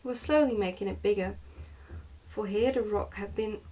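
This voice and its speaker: Hushed, slightly distant woman reading aloud. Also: mid-range voice, moderate pace. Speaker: ASMR host reading a book aloud. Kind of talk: ASMR storybook reading, soft and partly whispered.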